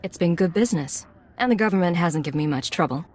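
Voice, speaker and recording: Game role: cool female mercenary. Lowish pitch, composed, guarded, self-assured. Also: animated, quick pace, a little mellow. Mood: businesslike. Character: cool, confident female secret agent posing as mercenary. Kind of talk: video game voice acting.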